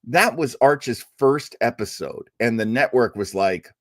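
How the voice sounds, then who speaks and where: A man's voice: medium pitch, lively intonation, a little slow, no echo, clean studio sound. A man speaking as a radio show host, giving a casual, rambling live introduction like an enthusiastic, chatty storyteller. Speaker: host of an old-time radio listening show.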